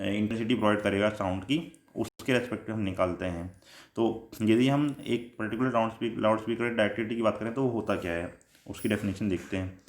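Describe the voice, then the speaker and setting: Male lecturer, Indian accent, medium pitch, step-by-step explaining, repeats key points, roomy echo. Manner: repetitive step-by-step explaining, switching into English technical terms. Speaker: electronics lecturer. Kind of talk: explanatory lecture, reading definitions then paraphrasing them. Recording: echoey room sound.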